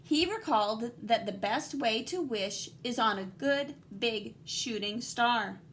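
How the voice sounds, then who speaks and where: Female teacher storyteller, high voice, expressive and dramatic picture-book delivery for young kids, slight background noise. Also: slow pace. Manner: animated, dramatic emphasis, guiding and questioning. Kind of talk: expressive children's read-aloud with asides to young listeners.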